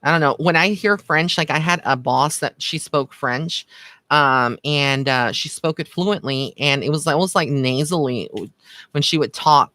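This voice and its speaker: A man with a slightly high, bright and forward voice talks like a psychic reader on a livestream. He is informal and chatty, speaking straight to his viewers with familiar endearments. The sound is close and dry, with hardly any room in it. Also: no room sound. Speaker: psychic medium giving live card readings. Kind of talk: casual livestream chat with viewers.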